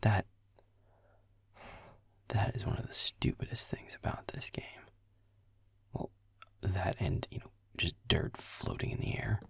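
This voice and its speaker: Grumpy, sarcastic werewolf boyfriend for gaming roleplay audio male performer: very low, gravelly, breathy, irritated sweary muttering. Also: very animated, unhurried, pauses often, a bit bright, dry recording, in a whisper, full character voice. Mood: grumbling.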